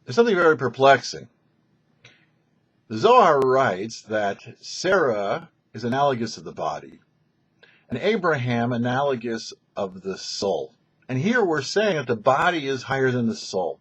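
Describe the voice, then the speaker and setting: A man gives a religious lesson at an unhurried pace, with pitch that rises and falls widely. His delivery is deliberate and instructive, like a rabbi reading prepared teaching. The recording is somewhat dull and muffled. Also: very animated, a bit bright, slightly rough. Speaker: rabbi presenting a weekly Torah show.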